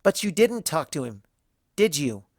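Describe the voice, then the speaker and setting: Storybook unicorn student, performed by a narrator: young female, raised pitch, cutting, accusing, righteous and sarcastic. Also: big pitch swings, measured pace, no echo, clean studio sound. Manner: sharp, cutting, rhetorical questioning.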